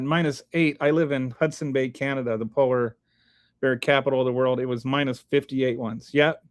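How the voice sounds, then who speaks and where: A man's voice: fairly high pitch, a little mellow, dry recording. Rambling man, dry close sound. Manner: rambling, frequent restarts and fillers. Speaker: livestream host. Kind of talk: casual livestream chat reading viewer comments.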